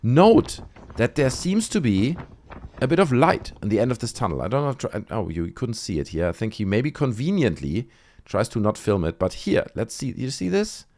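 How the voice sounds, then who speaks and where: A man's voice: mid-range voice, sing-song, normal speed, darkish tone. A man hosting an online video, giving casual, conversational commentary on clips with frequent tag questions. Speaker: YouTube host.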